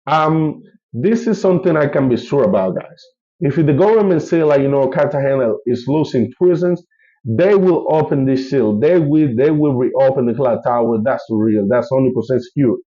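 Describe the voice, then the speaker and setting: A man's voice: mid-range voice, animated, moderate pace, mellow, muffled recording. Man with a Colombian Spanish accent, rambling. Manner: rambling, many fillers and restarts. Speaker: travel vlogger. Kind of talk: casual vlog update.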